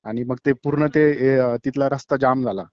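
Casting: male, Marathi accent, halting everyday eyewitness speaker, dull lo-fi call audio, dry sound. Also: mid-range voice, fairly even intonation, dry recording. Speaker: tourist giving an eyewitness account. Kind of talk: phone interview, conversational account. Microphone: phone call.

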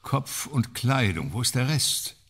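An actor playing a probing, sceptical male amateur detective in a radio crime drama, terse and questioning, with a gravelly, husky voice. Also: medium pitch, animated, a little slow, a bit bright, heavily acted.

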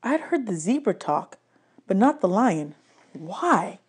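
A woman's voice: mid-range voice, very animated, takes it slowly, breathy edge. A woman narrating a novel aloud as an audiobook reader. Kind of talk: read-aloud story narration.

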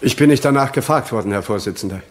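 An actor playing an earnest former soldier testifying in a radio crime drama, sober, deliberate and respectful. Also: male voice, mid-range voice, expressive, close to an everyday voice.

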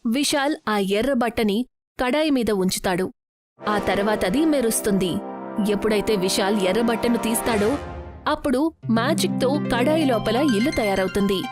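A woman's voice: a little high, moderate pace, music in the background. A woman narrator reading a scripted moral story for children.

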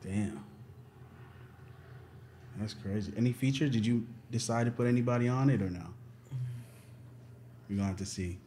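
Man, interviewer, easygoing, casual slang, affirming replies, relaxed chat. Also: on the low side, normal speed, long pauses, a little hiss or hum.

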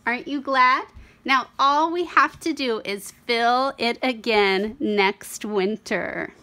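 Storybook mom, put on by a female reader: gently firm, deliberate, drawn-out scolding, fairly high voice. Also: heavily acted. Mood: playful. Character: gently firm young mother scolding her little boy. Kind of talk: picture-book character reading.

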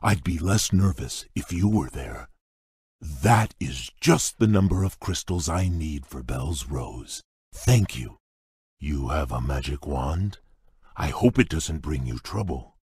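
An actor playing a large, shy, polite beast prince in a video game, speaking in a courteous, formal, earnest way with a husky voice. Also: male voice, expressive, slow pace, a little mellow, no echo, studio quality, full character voice. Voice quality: deep husky voice. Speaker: game character. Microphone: dry close studio mic.

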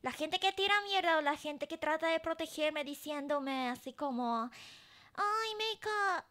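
A woman's voice: high-pitched, measured pace, sharp tone. A woman streamer chatting casually and playfully with her viewers during a live game event. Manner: chatty and playful, talking to chat.

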